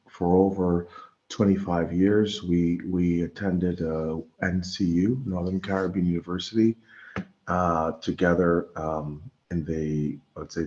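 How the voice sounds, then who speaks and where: Low, mellow, halting middle-aged man. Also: highs cut off. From Bahamas. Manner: halting, hesitant, repeats words. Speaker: guest presenter. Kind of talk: informal religious lecture over an online meeting.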